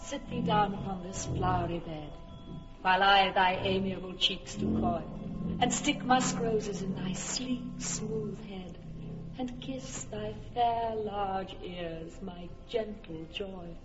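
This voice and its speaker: A woman's voice: medium pitch, sing-song, slow, big-room sound, recorded from a distance, dull audio, over loud music. An actress playing an enchanted fairy queen, cooing tender verse in a radio drama. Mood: doting. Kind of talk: classic stage radio drama.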